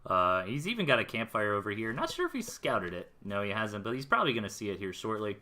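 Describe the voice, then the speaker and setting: A man's voice: on the low side, big pitch swings, fast talker, sharp tone, a little hiss or hum. An American man casting a strategy game replay in a casual, slangy, explanatory way, with music behind him. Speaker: esports replay caster. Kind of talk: live game commentary, casual.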